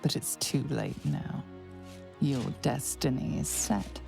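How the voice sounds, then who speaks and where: A woman playing a grave, ominous fantasy game narrator who tells of fate in a creaky, portentous voice. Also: rumbling low, animated, exaggerated voice. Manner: slow, portentous, second-person storytelling. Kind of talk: scripted dramatic game narration. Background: music.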